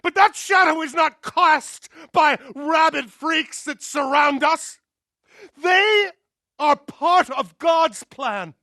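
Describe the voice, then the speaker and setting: An actor playing a zealous, commanding middle-aged militia colonel in a video game, speaking in a grandiose, deliberate, preaching way. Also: male voice, very high-pitched, expressive, pushed and bright, dry recording, heavily acted. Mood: solemn preaching. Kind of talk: video game voice acting, oratorical.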